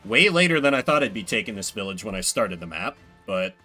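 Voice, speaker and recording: A man streams a game with casual, playful commentary. His voice is bright and very animated in pitch, and his delivery is wry, with loose swearing. Faint music plays behind him. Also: a little fast.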